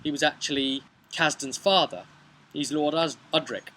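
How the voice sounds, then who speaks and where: Bright-voiced man recapping a game. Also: a little high, moderate pace. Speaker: game master recapping his campaign. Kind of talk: casual storytelling vlog about a tabletop role-playing session. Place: outdoors on a bench beside a brick house. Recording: noisy outdoor home video recording.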